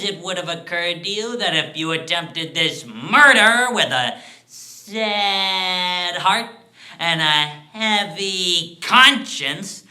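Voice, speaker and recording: A man's voice: high-pitched, pushed and bright, voice pushed up, exaggerated voice, rough recording. A man voicing a tiny shabby dwarf, the mocking, cackling conscience of a narrator, in a dramatic story performance. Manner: leering, taunting, cackling. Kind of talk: dramatic solo short-story interpretation.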